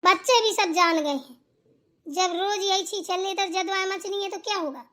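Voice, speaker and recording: A woman voicing a quick-tempered young daughter-in-law in a family cartoon, arguing and complaining with sarcasm. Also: extremely high pitch, sharp tone. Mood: defiant, angry. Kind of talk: amateur Hindi family cartoon dialogue.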